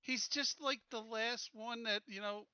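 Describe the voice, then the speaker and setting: High, bright-voiced male gamer. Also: fairly even intonation, measured pace, clean tone, no room sound. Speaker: tabletop game player. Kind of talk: casual game chat.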